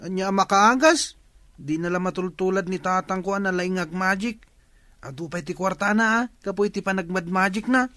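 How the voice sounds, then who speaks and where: A woman's voice: mid-range voice, normal speed, fairly bright tone, close to an everyday voice. A woman with an Ilocano accent playing a skeptical, chatty villager in a radio comedy drama.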